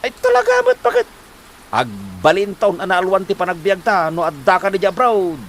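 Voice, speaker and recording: An actor playing a scheming husband who coaxes his wife to work abroad, speaking with an Ilocano accent in a lively, pushy way. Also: high voice, normal speed, slight background noise, full character voice. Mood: eager. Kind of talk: comedy drama acting.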